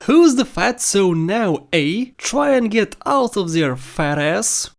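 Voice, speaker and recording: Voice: mid-range voice, very animated, slow, creaky. A narrator hosting a countdown of video game scenes with scripted commentary.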